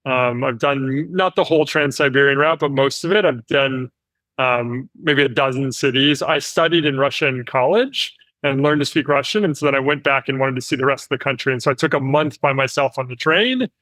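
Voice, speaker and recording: An American man, a company founder, telling travel stories as an interview guest with lively delivery and frequent fillers. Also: mid-range voice, a little fast, dry recording, rough recording. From United States. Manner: animated storytelling with frequent fillers. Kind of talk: conversational interview.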